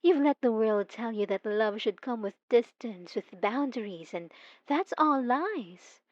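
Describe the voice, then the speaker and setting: A woman playing an obsessive, possessive young stalker in an ASMR roleplay, talking softly and intimately with teasing giggles. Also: fairly high pitch, lively intonation, unhurried, no echo, dull audio. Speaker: narrator speaking to the listener.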